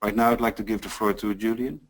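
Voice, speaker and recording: A man's voice: lowish voice, flat delivery, quick pace. Dutch-based man hosting a business webinar. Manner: upbeat, scripted delivery trailing off softly at phrase ends. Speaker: webinar host.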